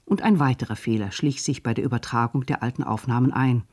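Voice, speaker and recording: A man reading a scripted radio documentary narration in a measured, formal way. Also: medium pitch, darkish tone.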